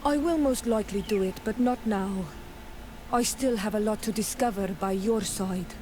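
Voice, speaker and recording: A woman's voice: mid-range voice, normal speed, noisy background, close to an everyday voice. An actress playing an earnest, devoted young native clanswoman in a video game. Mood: calm, proud.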